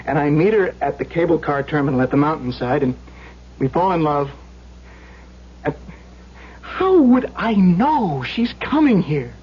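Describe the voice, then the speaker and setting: A man's voice: fairly high pitch, lively intonation, a little slow, pauses often, breathy edge. An actor playing a frantic, pleading man haunted by nightmares, stammering and repeating himself in an old-time radio drama. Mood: agitated insistence. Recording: dull, hissy old radio recording.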